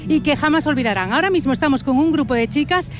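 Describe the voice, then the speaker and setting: A woman reporting live on location, brisk and friendly with the people she interviews. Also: high voice, animated. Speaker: street reporter.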